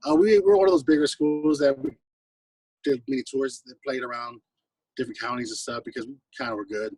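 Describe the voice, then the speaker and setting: A man's voice: mid-range voice, fairly even intonation, brisk, a little mellow, husky, dry recording, far from the mic, poor audio. An American man from the West Coast and Hawaii, a guest telling anecdotes in casual, laid-back, slangy talk. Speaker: guest, singer-songwriter musician. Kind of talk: casual chat, anecdotal storytelling.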